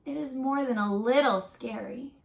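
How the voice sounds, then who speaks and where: A woman teacher reading a picture book aloud to young children, expressive and encouraging, pausing for chatty asides and questions. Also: high-pitched, gravelly. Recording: roomy echo.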